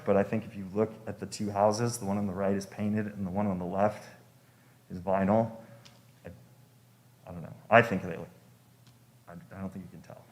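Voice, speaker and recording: A young man giving informal testimony as a homeowner at a public hearing, speaking politely and deferentially with some hesitation. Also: fairly low pitch. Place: public meeting room.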